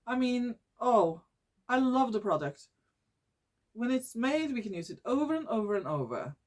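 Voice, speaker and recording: A woman from Norway with a Norwegian accent, hosting her own vlog in English, which is not her first language, often pausing to search for words and restarting her sentences. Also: fairly high pitch, sing-song, darkish tone. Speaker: YouTube channel host. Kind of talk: casual vlog monologue.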